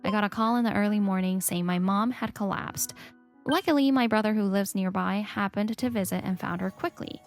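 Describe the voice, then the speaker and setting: A woman voicing an earnest, expressive young mother and wife in a narrated manga dub. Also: medium pitch, fairly quick, soft background music, natural voice. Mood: earnest narration. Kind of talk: scripted story narration, manga dub acting.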